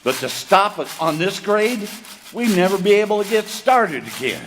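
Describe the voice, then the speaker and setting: A man's voice: high-pitched, sing-song, normal speed. A man playing a defiant, folksy train engineer in a Western radio drama. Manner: protesting, folksy Western talk. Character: defiant, indignant Old West train engineer. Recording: noisy live stage recording.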